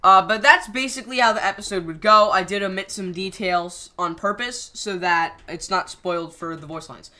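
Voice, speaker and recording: A channel creator casually explaining the plan for a scrapped series finale, rambling with frequent pauses and restarts. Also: medium pitch, pushed and bright. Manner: hesitant, frequent ums and restarts.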